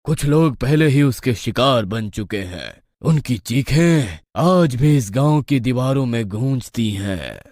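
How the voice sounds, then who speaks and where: A man speaking in a grave, measured way about a village danger. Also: mid-range voice, lively intonation, darkish tone, dry recording, studio quality.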